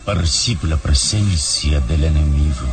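A calm, heroic adventurer from an old radio drama. The voice is very deep and unhurried, grave and deliberate, with loud music swelling behind it. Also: male voice. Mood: grave resolve. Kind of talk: old Mexican radio serial acting. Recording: dramatic music behind, slightly distant mic.

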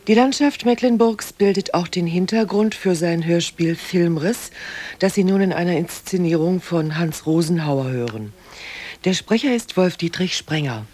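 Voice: mid-range voice, moderate pace, darkish tone. A radio announcer reading a scripted introduction to a radio play.

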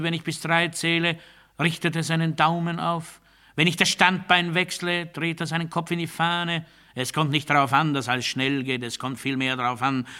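An actor playing an obedience-obsessed man delivering absurd totalitarian doctrine in a deliberate, declamatory radio play monologue. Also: mid-range voice, natural voice. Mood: quiet, controlled confiding. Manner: deliberate, declamatory, swinging from hushed confiding to strident proclamation.

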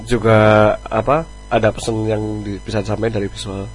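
A man's voice: on the low side, flat delivery, brisk, soft background music, rough recording. A man chatting casually as a band musician being interviewed, hesitant and thinking aloud.

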